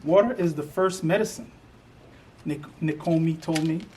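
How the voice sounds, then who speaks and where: A man's voice: on the high side, normal speed, mellow. A man reading a children's picture book aloud. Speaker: storybook reader. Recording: distant mic with faint noise.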